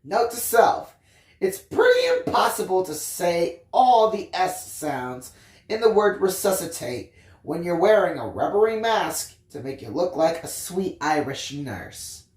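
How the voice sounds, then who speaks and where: A storyteller reading a first-person tale expressively, with theatrical emphasis and drawn-out phrases. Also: mid-range voice, sing-song, roomy sound. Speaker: audiobook reader, story time host.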